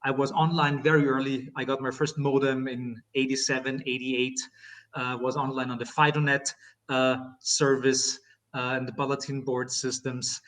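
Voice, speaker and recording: Austrian male podcast host, chatty and halting, mid-pitch voice sitting back from the mic. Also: flat delivery, a bit bright, far from the mic. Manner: chatty, many uh fillers and restarts. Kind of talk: casual interview chat.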